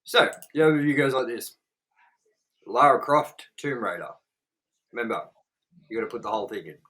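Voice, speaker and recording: A man's voice: mid-range voice, expressive, normal speed, fairly bright tone, husky. Blunt, foul-mouthed young male host. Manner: swears a lot, blunt and frank. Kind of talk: casual review chat.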